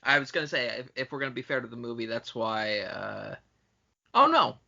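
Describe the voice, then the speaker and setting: A man with a bright, forceful voice edged with gravelly fry co-hosts a casual film podcast. He argues his points out loud. The audio is a little dull and lo-fi. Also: medium pitch, lively intonation, brisk. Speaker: podcast co-host. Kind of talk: casual movie discussion.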